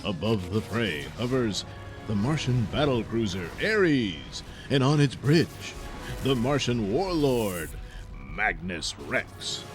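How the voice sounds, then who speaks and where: A man's voice: fairly low pitch, very animated, takes it slowly, music in the background. A man narrating a space-adventure serial in a dramatic, scripted announcer style.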